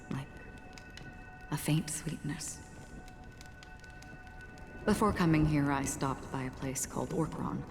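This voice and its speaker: An actress playing a calm, melancholy female wanderer in a game, speaking softly and breathily in a contemplative way. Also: low voice. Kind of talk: quiet dramatic game dialogue. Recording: long reverb, music behind the voice. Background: music.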